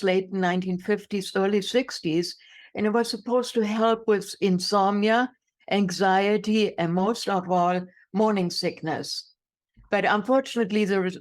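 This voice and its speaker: A woman in her sixties from Germany with a German accent, telling her own story emphatically as an interview guest, repeating words for effect. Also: mid-range voice. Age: 60s. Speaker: guest, inspirational speaker.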